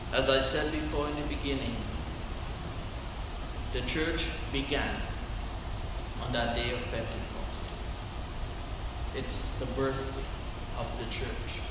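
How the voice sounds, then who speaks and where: Echoey, slow-preaching middle-aged man. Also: medium pitch, steady tone. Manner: deliberate, preacherly, pausing between short phrases. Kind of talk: homily read from a lectern. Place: large church interior. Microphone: lectern gooseneck microphones. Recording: very echoey church, distant mic, background noise.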